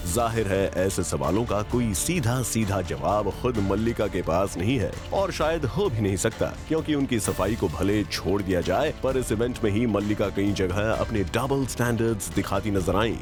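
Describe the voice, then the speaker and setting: Low-voiced Indian man narrating gossip news. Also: animated, normal speed, hardly any pauses. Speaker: news report voice-over narrator. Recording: music behind the voice. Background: music.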